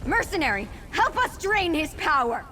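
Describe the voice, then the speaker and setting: A woman's voice: high-pitched, sing-song, moderate pace, sharp tone, full character voice. An actress playing a fierce, reckless young woman in a video game, impassioned and defiant in her grief. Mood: vengeful, desperate. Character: vengeful grieving young sister, fierce and reckless. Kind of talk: video game character acting. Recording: reverberant hall, game music behind.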